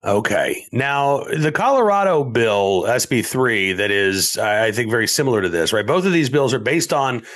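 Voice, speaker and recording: Male host, congested and scratchy, lively pitch, chatty and filler-heavy. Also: normal speed, hardly any pauses. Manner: animated, talks in bursts with many fillers. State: stuffed up and scratchy from allergies. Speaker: show host. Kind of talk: conversational political talk show.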